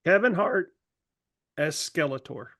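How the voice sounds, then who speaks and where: A man with a creaky, gravelly voice, set a little high, chats casually as a podcast co-host. The recording is dry. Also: expressive, measured pace, a bit bright, no room sound. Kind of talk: casual chat.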